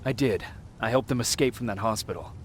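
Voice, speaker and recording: An actor playing an earnest, reserved resistance soldier in a video game, speaking in brief replies. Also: male voice, lowish voice, a little fast, husky. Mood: reserved, kind. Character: terse, earnest soldier, a male resistance private. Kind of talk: video game dialogue acting.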